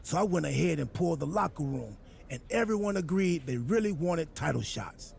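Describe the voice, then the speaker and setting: An actor playing a goofy, self-important wrestler turned boss in a video game cutscene, with a gravelly, husky voice and an earnest, absurdly literal, rambling manner. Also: male voice, on the high side, animated, brisk, a little hiss or hum. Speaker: wrestler acting as general manager.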